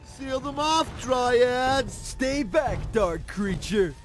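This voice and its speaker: Game role: bossy, foolish guard commander, high-pitched, animated declamation, drawn out, faint music behind. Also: male voice, drawn-out words, full character voice. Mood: defiant. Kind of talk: theatrical video game character acting.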